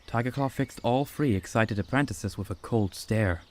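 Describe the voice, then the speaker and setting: A man giving steady, scripted storybook narration for a fan-made audiobook. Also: mid-range voice, normal speed.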